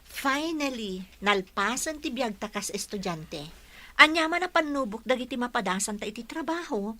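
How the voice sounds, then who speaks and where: A woman's voice: fairly high pitch, expressive, normal speed, sharp tone. A woman playing a hopeful young graduate and budding teacher in an Ilocano radio drama, earnest and emotive. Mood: joyful.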